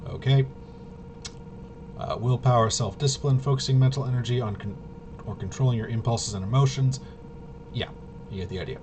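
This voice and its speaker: A man casually explaining game rules unscripted, halting and rambling as he thinks aloud. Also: darkish tone. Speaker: tabletop RPG reviewer and explainer. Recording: clearly noisy with low rumble.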